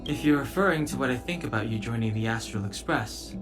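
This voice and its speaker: A man voicing a calm, reserved, serious young space traveller in a video game cutscene, measured and detached. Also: medium pitch, music in the background, lightly acted. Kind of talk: animated game cutscene dialogue.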